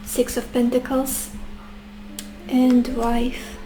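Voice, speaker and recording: A woman, a little sick and losing her voice, giving a gentle tarot reading to her viewers and musing aloud with soft hesitations. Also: on the high side, monotone, extremely slow, soft background music, rough recording. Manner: gentle, addresses viewers warmly as beautiful souls. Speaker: tarot reader.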